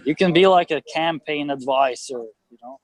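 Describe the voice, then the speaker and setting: A man calling into a group video chat from a bus on the road, talking haltingly with many fillers. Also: a little high, no room sound. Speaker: discussion group member. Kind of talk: casual video call chat. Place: on a bus while traveling.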